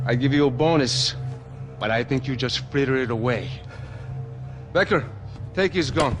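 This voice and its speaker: An actor playing a corrupt politician in a video game, condescending and mock-courteous. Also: male voice, medium pitch, lively intonation, moderate pace, raised voice, lightly acted. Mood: smugly amused. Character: smug, silky corrupt politician villain, condescending and unhurried. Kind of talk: cinematic game acting. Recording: music underneath.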